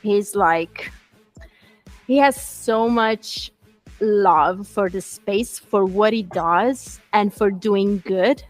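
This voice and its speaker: Italian-accented woman co-host, earnest and halting, light music under her. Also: mid-range voice, no room sound, low-quality recording. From Italy. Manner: earnest, halting with frequent uh fillers. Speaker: show co-host. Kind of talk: interview answers.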